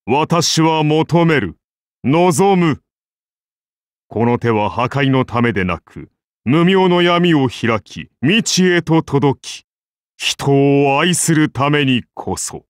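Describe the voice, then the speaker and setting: A majestic founder-king of Rome turned god, declaims in archaic, solemn phrases for an anime game. His benevolent voice moves slowly and sweeps widely in pitch, in a close, dry recording. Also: lively intonation, no echo. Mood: solemn, grand. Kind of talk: anime game character voice lines.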